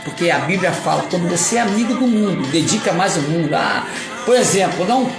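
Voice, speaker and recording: A male preacher with a Carioca accent delivers a rambling, emphatic sermon full of playful asides. His voice has a gravelly edge. It rings through an echoey room with music playing underneath. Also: high-pitched, animated, a little fast, crowd noise. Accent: Carioca Portuguese. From Rio de Janeiro.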